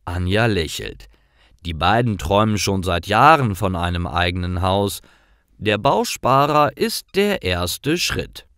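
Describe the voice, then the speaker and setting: A man's voice: low-pitched, big pitch swings, a little slow, a bit bright, no echo, studio quality. A man reading simple stories for language learners in a careful, scripted narrating style.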